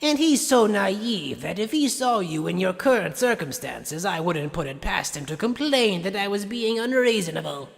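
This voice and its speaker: A man's voice: high voice, lively intonation, normal speed, gravelly, few pauses. A man voicing an arrogant, sadistic mad scientist in an anime fan dub, theatrical and condescending with mock politeness, recorded in long reverb. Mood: smug lecturing.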